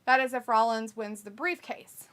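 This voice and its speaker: A woman co-hosts a live watch-along in a relaxed, talkative way. Her voice sits a little high and sounds bright and forward. She giggles often and teases her partner while reading comments. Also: moderate pace. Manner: giggly and chatty, often breaks into laughter. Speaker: co-host of a wrestling watch-along stream. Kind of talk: casual live chat, reading viewer comments aloud.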